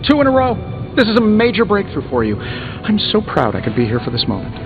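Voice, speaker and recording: An actor playing a cocky, teasing superhero in a video game, delivering sarcastic and playful banter. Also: male voice, sits high, wildly swooping pitch, brisk, full character voice. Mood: mock-amazed, teasing. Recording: dull game audio under prominent music.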